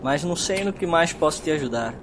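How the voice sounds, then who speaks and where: An actor playing a nervous, deferential bar owner with a Brazilian accent, insisting respectfully in audio drama dialogue. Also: male voice, fairly high pitch, animated, a little fast, background noise, close to an everyday voice. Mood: frank, respectful. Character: nervous, defensive male bar owner, plain-spoken and respectful.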